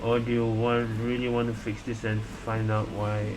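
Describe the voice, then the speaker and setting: A man's voice: medium pitch, completely flat delivery, moderate pace, very noisy. A man giving casual reaction commentary as a gaming streamer, hesitant and repeating words as he thinks aloud.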